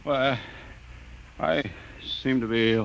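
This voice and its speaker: An actor playing an uneasy but polite civilian passenger aboard a spaceship, in 1950s radio drama style. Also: male voice, mid-range voice, fairly even intonation, slow, creaky, a bit bright, dull audio. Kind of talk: 1950s science fiction radio acting. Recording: noisy old radio recording.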